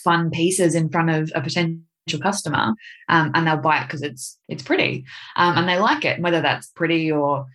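Chatty young Australian woman, lowish voice. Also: moderate pace, fairly bright tone. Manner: chatty, frequent ums, laughs easily. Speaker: guest, social media marketer. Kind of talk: casual podcast interview.

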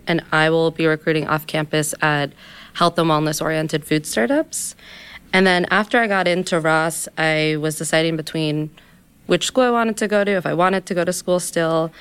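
A woman from Washington, D.C. with a notably low voice gives her introduction. Her speech is very crisp and clear, and it comes across tidy and organized. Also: a bit bright. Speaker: MBA student guest.

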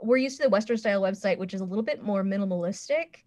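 Frank woman chatting casually, medium pitch. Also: very fast, darkish tone. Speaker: podcast panelist reviewing a website. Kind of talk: casual review chat.

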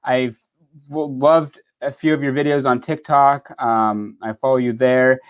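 Chatty podcast host, lo-fi sound. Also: male voice, medium pitch, steady tone, a little slow, smooth. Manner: loose, chatty, many restarts. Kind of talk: casual podcast interview. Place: at home in Southern California.

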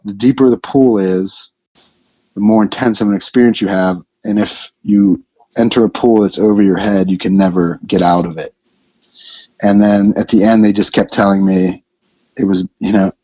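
Man, lowish voice, rambling interviewee, dry and dull remote audio. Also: mellow, breathy edge, no room sound. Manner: rambling, lots of fillers and restarts. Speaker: band member, vocalist and lyricist. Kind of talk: casual podcast interview.

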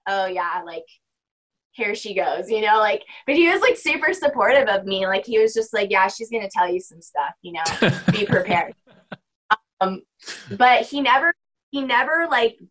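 A woman's voice: mid-range voice, lively intonation, normal speed, fairly bright tone, poor audio. A young woman talking casually as a podcast guest, rambling with lots of filler words. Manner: rambling, heavy use of "like" and "you know". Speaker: podcast guest, spiritual practitioner. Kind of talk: casual interview chat.

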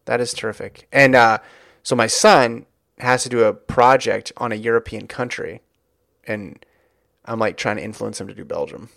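A middle-aged man with a gravelly voice hosts a podcast and chats in a loose, upbeat way, often wandering off topic. The recording is close and dry. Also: medium pitch, steady tone, normal speed, fairly bright tone, no room sound. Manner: chatty, rambling, upbeat. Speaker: podcast host. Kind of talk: casual chat.

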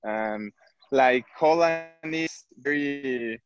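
A footballer from Mexico, speaking English with a Mexican Spanish accent, hesitant and searching for words. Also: male voice, mid-range voice, drawn-out words, dull audio, soft background music. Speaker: football player. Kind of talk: interview.

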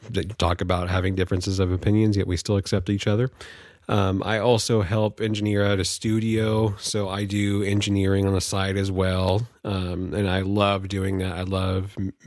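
A man's voice: deep voice, a little mellow, no echo, studio quality. A Californian American man hosting a podcast solo, talking casually in a rambling, jokey, informal way. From California, United States. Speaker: podcast host.

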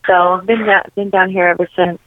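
A woman's voice: on the low side, flat delivery, brisk, no room sound. A woman from New York and New Jersey, speaking as an interview guest, who talks earnestly and rambles with many "you know" fillers. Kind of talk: casual testimony interview. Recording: dry tinny phone-like line.

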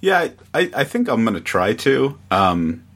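A man's voice: mid-range voice, big pitch swings, measured pace, fairly bright tone. A man appearing as a podcast guest, talking casually.

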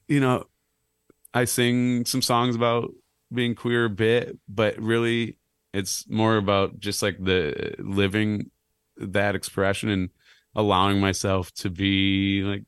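A man in his thirties with a low, slightly raspy voice, chatting casually on a podcast as a guest, rambling and self-deprecating. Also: dry recording, studio quality. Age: 30s. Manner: rambling, self-deprecating, animated when joking. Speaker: clown and musician guest.

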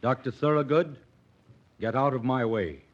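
An actor in an old-time radio drama playing an earnest, idealistic doctor, commanding and oratorical, on a dull vintage recording. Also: male voice, mid-range voice, normal speed, lightly acted. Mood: urgent, commanding. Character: earnest, defiant idealistic physician pleading his cause.

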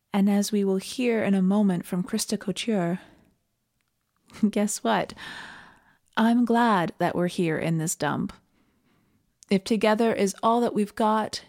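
A Canadian woman giving a reflective, scripted sermon in an earnest and measured manner. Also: mid-range voice, a little mellow. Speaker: preacher.

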